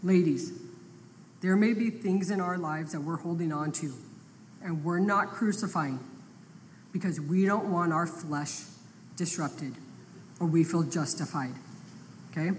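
A woman teaching a Bible study to other women, reading scripture aloud and building to emphatic, forceful peaks. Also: lowish voice, a little slow, long pauses. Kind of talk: sermon. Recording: long reverb, faint background noise.

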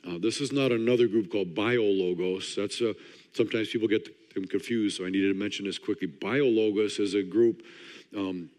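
A hoarse older man from Wisconsin preaching fast, with a dry, joking delivery. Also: lowish voice, steady tone, darkish tone. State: hoarse, partly lost voice. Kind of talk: fast-paced sermon talk. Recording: long hall reverb.

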